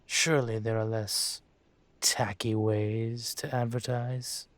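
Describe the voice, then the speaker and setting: A man playing a dragon mafia boss in an audio roleplay, speaking with measured, condescending menace and smug control. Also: lowish voice, flat delivery, no room sound. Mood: smug, amused. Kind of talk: scripted roleplay monologue to the listener.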